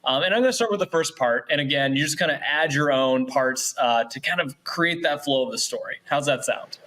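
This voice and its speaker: A man's voice: fairly high pitch, fairly quick, bright, forceful tone, poor audio. A man hosting a casual, upbeat online event in a chatty, encouraging, informal way. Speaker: show host.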